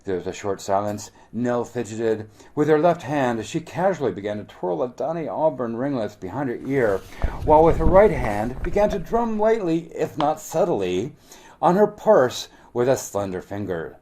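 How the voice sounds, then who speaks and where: A man's voice: medium pitch, sing-song, normal speed, dark tone, rough recording. A man narrating a novel read aloud from the page.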